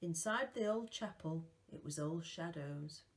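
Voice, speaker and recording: A woman reading a novel aloud as a storyteller, in a slow, deliberate manner. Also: sits low.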